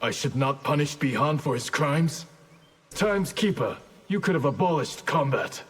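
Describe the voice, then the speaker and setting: An actor playing a dutiful, determined ninja grandmaster in a video game, speaking formally and earnestly. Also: male voice, on the high side, animated, measured pace, fairly bright tone, breathy edge, heavily acted. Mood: earnest, resolute. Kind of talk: video game dramatic dialogue.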